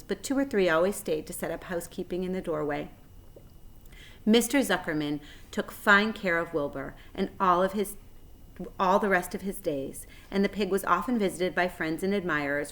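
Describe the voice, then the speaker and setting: A woman with a slightly low voice reads a children's book aloud. Her storytelling is measured and expressive, following the narration phrase by phrase.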